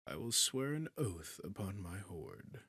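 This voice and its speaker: A very low-voiced, slow-speaking dragon king, acted by a man, courtly yet menacing. His husky growl slips into breathy whispers, and every formal phrase lands as a possessive command in an intimate fantasy roleplay. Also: very animated, fairly bright tone, no echo, full character voice. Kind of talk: scripted fantasy audio roleplay addressed to the listener.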